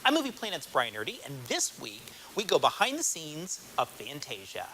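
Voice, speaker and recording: Animated American male TV film reporter. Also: fairly high pitch, normal speed, slight background noise, rough recording. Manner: polished, promotional delivery. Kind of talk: scripted broadcast narration. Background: music.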